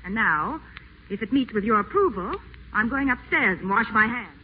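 A woman playing a brisk, frank secretary. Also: a little high, expressive, lightly acted. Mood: firm. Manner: brisk, firm, teasing. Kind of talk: 1940s radio acting. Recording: dull old radio broadcast, faint hiss.

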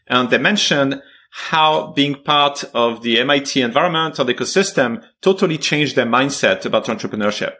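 A man hosting a podcast interview, speaking conversationally with frequent pauses. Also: medium pitch, fairly bright tone. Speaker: podcast host.

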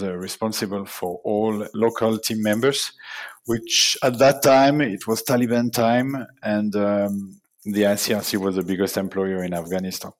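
A man in his fifties from Switzerland speaks as a podcast guest, with a fairly low voice. He talks in a measured way, with fillers and small restarts. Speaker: guest, people management consultant. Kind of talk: podcast interview.

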